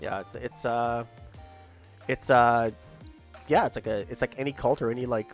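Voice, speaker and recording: A man's voice: mid-range voice, fairly even intonation, normal speed, soft background music. A young man chatting casually on a podcast, often stammering and restarting and agreeing with "yeah".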